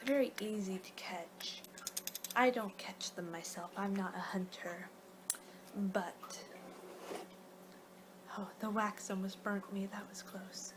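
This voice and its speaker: A woman performing a playful ASMR roleplay, rambling along in soft speech and whispers as if losing her train of thought. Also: medium pitch, fairly even intonation, slow, pauses often. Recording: clear background noise.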